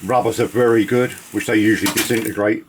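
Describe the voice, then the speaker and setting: A man giving a casual, unscripted demonstration of vintage gear, chatty and rambling with halting pauses. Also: lowish voice, fairly even intonation, noisy background.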